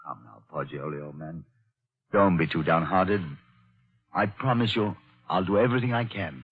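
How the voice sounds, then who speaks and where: A loyal troubled gent, lowish husky voice, hesitant, old radio drama, muffled. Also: male voice, normal speed. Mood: consoling. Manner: hesitant, gentlemanly, reassuring. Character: loyal, troubled gentleman friend of the accused. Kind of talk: 1940s radio drama acting.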